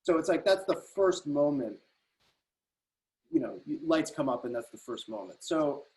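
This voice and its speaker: Male playwright, medium pitch, reflective and casual, halting explanations, video call. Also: rough recording. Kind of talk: reflective casual discussion of own writing. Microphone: computer video call.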